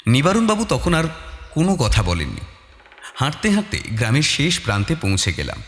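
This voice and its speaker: A man narrating a detective story in the first person with expressive, dramatic delivery. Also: mid-range voice, fairly quick. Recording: long reverb, music bed. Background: soft music.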